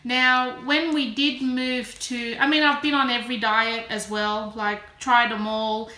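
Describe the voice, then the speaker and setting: A woman's voice: on the high side, level tone, measured pace, sharp tone, faint music behind. A woman in her early forties talking casually and candidly in a chatty, self-deprecating personal vlog. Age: early 40s. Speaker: vlogger. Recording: roomy echo, faint background noise.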